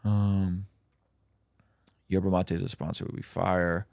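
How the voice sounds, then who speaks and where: A man's voice: low voice, level tone, a little slow, vocal fry, a little mellow, no room sound, clean studio sound. A man, a native Russian speaker, hosting a casual livestream where he reads out chat questions and answers them with plenty of ums.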